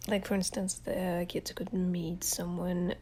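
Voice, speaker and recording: A young woman with a Russian accent, hosting a casual podcast monologue while she has a cold, talking softly and hesitantly and often dropping into a breathy whisper. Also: on the low side, flat delivery, unhurried, a little hiss or hum. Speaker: ASMR channel host.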